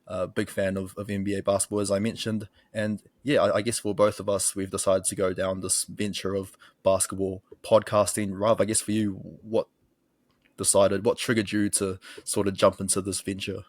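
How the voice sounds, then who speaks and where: A young man from New Zealand hosts a casual podcast in his local accent. His voice sits low and barely moves in pitch. The recording is dry and close. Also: little pitch movement, normal speed, no room sound. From Auckland, New Zealand. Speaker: podcast host, sports journalist.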